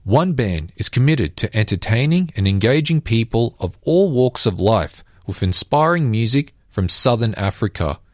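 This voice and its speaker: A man's voice: medium pitch, very animated, normal speed, hardly any pauses, no room sound. Male reporter, dry close sound, reads intro evenly, asks casually. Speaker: reporter, interviewer. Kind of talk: scripted intro and casual questions.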